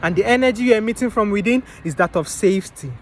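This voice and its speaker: A man's voice: sits high, lively intonation, fast, a little hiss or hum. A young man giving a preachy motivational talk, answering his own rhetorical questions and calling the listener bro and man. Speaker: motivational vlogger. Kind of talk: casual motivational monologue.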